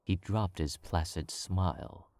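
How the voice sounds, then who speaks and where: A man narrating a story in a calm, measured read. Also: deep voice.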